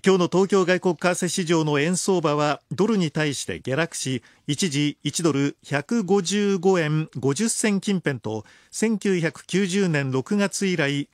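High-voiced man reading radio news. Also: no room sound, clean studio sound. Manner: formal, measured, clearly articulated. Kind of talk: scripted news bulletin reading. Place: radio studio.

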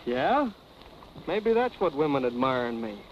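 Self-admiring prize fighter, lively intonation, dull old film recording. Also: male voice, mid-range voice, unhurried. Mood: cocky. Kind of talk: 1940s film acting. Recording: dull, noisy 1940s film soundtrack.

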